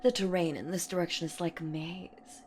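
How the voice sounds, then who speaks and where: A woman's voice: on the low side, fairly even intonation, normal speed, a little mellow, slight background noise, close to an everyday voice. A woman voicing a menacing, playful centuries-old boogeywoman in a horror audio roleplay, with a theatrical, teasing manner that often slips toward a whisper. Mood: gleeful, taunting. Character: menacing, playful centuries-old female boogeywoman monster hunting her prey.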